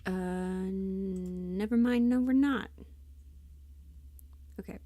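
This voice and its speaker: A woman hosts a casual livestream in a relaxed, dark-toned voice, close and dry on the mic. She speaks slowly and haltingly, thinking aloud and muttering to herself. Her manner is self-deprecating and unpolished. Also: medium pitch, no room sound. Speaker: livestream host, voice performer.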